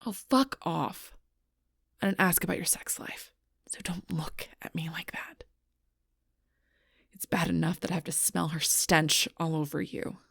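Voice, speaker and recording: Casting: woman to play a protective female alpha werewolf for intimate audio roleplay. Low-pitched, raspy, bitter and intense. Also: fairly even intonation, measured pace, long pauses, a bit bright, no echo, studio quality, full character voice. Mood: bitter, irritated. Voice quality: breathy, husky, rough-edged. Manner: intense, confrontational, swearing, often dropping to near-whisper. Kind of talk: audio roleplay acting addressed to the listener.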